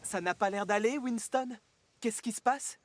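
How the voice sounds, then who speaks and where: A man's voice: sits high, moderate pace, dry recording, lightly acted. Man voicing friendly young talking locomotive. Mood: friendly concern. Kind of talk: animated children's cartoon dub.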